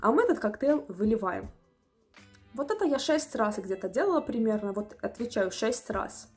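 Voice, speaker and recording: A young woman in her twenties telling a story to the camera from a small room at home, animated and indignant. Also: a little high, moderate pace, soft background music. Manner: animated, indignant, acts out customers' voices. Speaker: vlogger.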